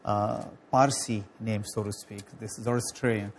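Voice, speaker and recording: A man from Pakistan hosting a studio interview show, speaking haltingly with many fillers and restarts. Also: on the low side, steady tone, vocal fry, a little hiss or hum. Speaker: TV talk show host.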